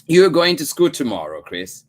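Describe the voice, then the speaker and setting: A man voicing an anxious adoptive mother, in a high strained voice while reading a story aloud. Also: sing-song, moderate pace. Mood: pleading. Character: anxious adoptive mother, woman, pleading then stern.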